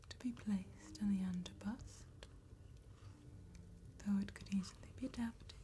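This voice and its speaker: A woman playing an elegant, courteous vampire tattoo artist in a soft ASMR roleplay, whispering in a gentle, formal, precise way. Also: mid-range voice, lightly acted. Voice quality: breathy whisper.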